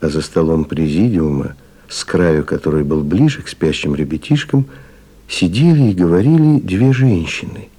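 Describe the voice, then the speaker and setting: Radio play narrator, deep pitch, soft dark tone, calm, literary, 1970s Soviet. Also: male voice, normal speed, husky. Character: calm storyteller setting the scene.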